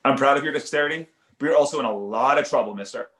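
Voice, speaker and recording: A man playing an insecure, indignant father and comedian with a defensive way of talking, in an animated comedy table read. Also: mid-range voice, fast talker, close to an everyday voice. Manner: defensive, aggrieved. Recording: echoey room.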